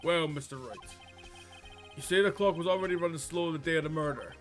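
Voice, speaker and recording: A man's voice: fairly high pitch, a little fast, soft background music, lightly acted. A man voicing a stern male judge in a courtroom video game, speaking formally and pronouncing to the court.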